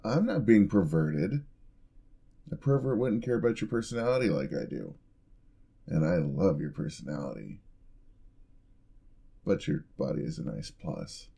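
Guy playing a creepy flirty yandere hubby, low dark voice, teasing and smooth, asmr roleplay. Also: very animated, measured pace, pauses often, full character voice. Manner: smooth-talking, teasing, playfully menacing. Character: flirty yandere husband, adult man, creepy charm.

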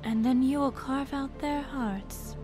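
A woman voicing a cold, remorseless vampire hunter in a video game dub, with a brooding, deliberate manner. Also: high voice, music in the background. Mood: mocking, menacing.

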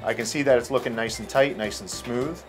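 A middle-aged male chef, talking through a cooking demonstration in a restaurant kitchen in a relaxed, explanatory way with fillers. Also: medium pitch, brisk, over music.

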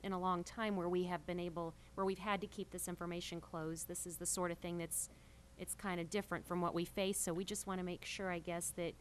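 A woman with a somewhat low voice addresses a public meeting as a council member. She is earnest and circles around her point. There is faint noise behind her. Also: flat delivery, brisk, hardly any pauses.

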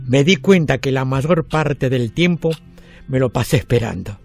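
An actor playing a weary retired man in his eighties with an Argentine accent, reflecting deliberately in an audio drama. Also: mid-range voice, animated, creaky. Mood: reflective, resigned. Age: 80s. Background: music.

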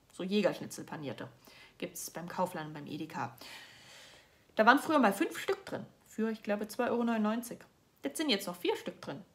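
A woman's voice: a little high, a bit bright. Young woman vlogging from her couch, sarcastic chatty rants, keeps restarting sentences. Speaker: political vlogger. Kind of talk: casual livestream commentary. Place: indoors at home, seated on a sofa.

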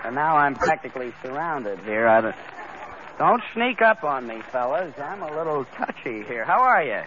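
A man's voice: medium pitch, sing-song, moderate pace. A man hosting an amateur talent segment on a 1930s radio variety show, genial and sometimes fumbling for words. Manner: genial, hesitant ad-libbing. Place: theater stage before an audience. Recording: dull old radio recording with live crowd.

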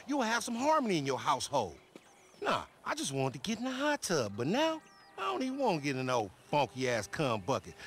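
A young American street hustler from a video game, boastful and foul-mouthed. His fairly high voice swings widely as he cajoles and brags in slang, with some faint vehicle noise behind him. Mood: boastful, teasing. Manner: animated, cajoling, slangy banter. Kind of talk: video game cutscene acting.

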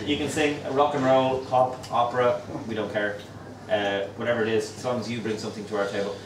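A middle-aged man hosting a pub crawl, telling jokey stories to a crowd and mimicking people in quick asides. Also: medium pitch, steady tone, heavy background noise. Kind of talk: humorous storytelling to a pub crowd. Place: small crowded pub, indoors. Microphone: far camera, no mic. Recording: roomy pub echo, distant, chatter behind.

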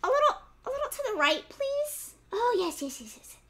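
A woman voicing a small, sly male piglet with a high, sing-song pleading way of talking. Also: big pitch swings, normal speed, sharp tone, heavily acted. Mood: pampered.

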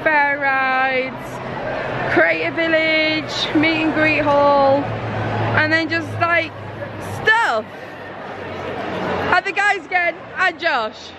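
A young woman vlogging chattily from a camera held at arm's length, excitable and laughing often, a little hoarse as she loses her voice. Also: high voice, very slow, long pauses. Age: young adult. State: losing her voice. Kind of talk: casual vlog chat. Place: large busy convention hall, indoors. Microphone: handheld camera at arm's length. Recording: noisy convention crowd. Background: loud crowd chatter.

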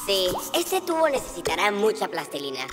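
Energetic boyish cartoon leader, voiced by a woman, lively and bouncy, over loud music. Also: mid-range voice, moderate pace, natural voice. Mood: upbeat. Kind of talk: dubbed cartoon acting. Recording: loud music bed under dialogue.

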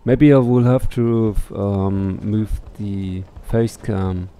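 A man's voice: lowish voice, extremely slow, dark tone, clean tone. A middle-aged man doing casual let's play commentary and reading on-screen game text aloud. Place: small room at home, indoors. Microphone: headset microphone. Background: faint game music.

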